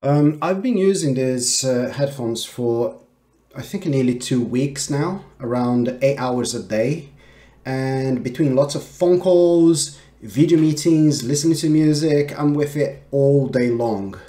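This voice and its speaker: A man in his thirties giving a casual tech product review with hesitant, halting phrasing. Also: medium pitch, warm tone. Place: small home office, indoors.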